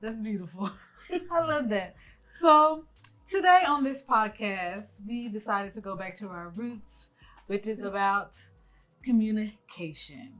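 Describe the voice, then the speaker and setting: A woman in her twenties hosting a family podcast, friendly and quick to laugh. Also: medium pitch, animated, fairly bright tone, soft background music. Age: 20s. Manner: giggly and friendly, laughs often. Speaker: podcast host.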